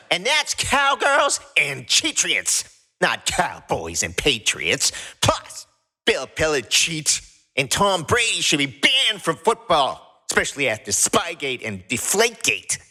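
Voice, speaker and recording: A man's voice: sits high, wildly swooping pitch, measured pace, sharp tone, exaggerated voice. A man playing an irritable, scheming, mischievous raccoon in an audio drama, grumbling and emphatic. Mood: indignant ranting.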